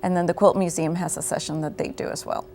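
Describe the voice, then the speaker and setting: A woman's voice: on the low side, steady tone, quick pace, a little mellow, slight background noise. A woman, a program coordinator, explaining her work earnestly as a guest on a TV interview. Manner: earnest, explanatory, uses "you know" often.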